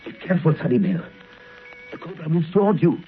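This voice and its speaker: A man's voice: fairly high pitch, very animated, a little fast. An actor playing a nervous, fretful criminal henchman in a 1930s radio drama. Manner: jittery, fretful. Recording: muffled old radio recording, faint noise.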